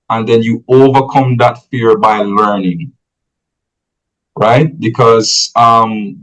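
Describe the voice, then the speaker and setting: Slow, measured man. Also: medium pitch, fairly bright tone, rough recording. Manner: measured and explanatory, often ends points with "right?". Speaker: podcast guest. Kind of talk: conversational advice-giving.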